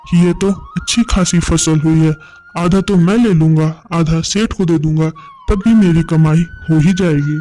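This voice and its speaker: A man voicing a humble, hardworking village farmer in an animated moral story, speaking in an earnest, deferential way. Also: on the high side, measured pace, faint music behind, lightly acted. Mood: hopeful. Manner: earnest, deferential to his master.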